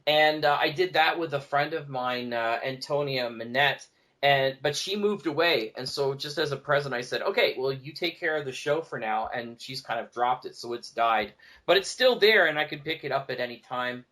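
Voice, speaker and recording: A man talking casually as an interview guest, telling stories in an animated way and acting out bits of dialogue. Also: medium pitch, normal speed. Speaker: podcast guest, audio drama producer and show host.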